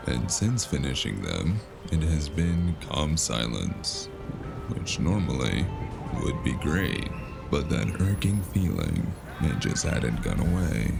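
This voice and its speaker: Man acting tough cyberpunk gun-for-hire, low gravelly voice, laconic, sardonic, unhurried. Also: exaggerated voice. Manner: dry, laconic, sarcastic. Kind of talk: scripted audio drama with hardboiled narration.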